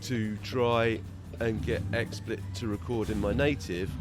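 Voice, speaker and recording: A man's voice: on the low side, fairly even intonation, slow pace. A man, stuffed up from a cold, giving casual, rambling commentary over a truck driving game. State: congested from a cold. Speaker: gaming video creator. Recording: voice over loud game audio. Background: truck driving game sounds and music.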